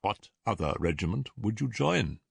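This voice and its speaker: A man gives voice to a self-assured storybook detective with a low, animated voice. He is precise and commanding, slightly condescending, and recorded close and dry. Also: moderate pace, dry recording, heavily acted. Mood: incisive, commanding. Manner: crisp, incisive, condescending. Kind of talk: audiobook character dialogue.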